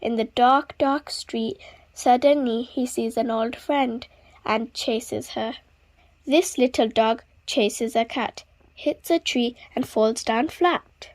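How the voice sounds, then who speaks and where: Slow, higher-voiced woman reading to children. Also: a little mellow. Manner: deliberate, dramatic emphasis on repeated words. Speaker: children's book reader. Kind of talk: expressive read-aloud storytelling for young children.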